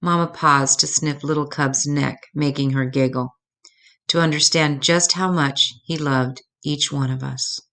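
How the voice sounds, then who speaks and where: An older woman with a low-pitched voice reads a children's story aloud. She narrates as a storyteller would for young listeners. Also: steady tone, unhurried, fairly bright tone.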